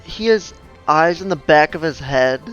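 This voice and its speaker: A man's voice: a little high, expressive, a little slow, fairly bright tone, highs cut off, soft background music. A man giving casual gameplay commentary in wry, offhand asides. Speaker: video game player commenting.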